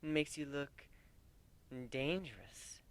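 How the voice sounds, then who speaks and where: A flirtatious, pouty mare from a fantasy story, voiced by a man. The delivery is a soft, seductive purr, recorded dry. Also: on the high side, animated, slow pace, dry recording. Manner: soft, purring. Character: flirty, pouty orange-furred female pony, purring seductively.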